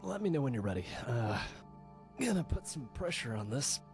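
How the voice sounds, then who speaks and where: A man voicing a wounded rebel fighter in a video game, weary, strained and halting, with a wry, loyal temper. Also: medium pitch, big pitch swings, music in the background. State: injured, in pain. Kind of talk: video game character dialogue.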